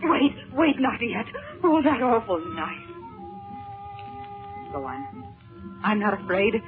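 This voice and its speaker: A woman's voice: fairly high pitch, very animated, slow, music in the background. An actress playing a desperate captive mother in a 1930s radio serial, imploring in a breathless, melodramatic way. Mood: frightened. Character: desperate, pleading mother held captive, a woman. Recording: tinny, band-limited 1930s radio recording.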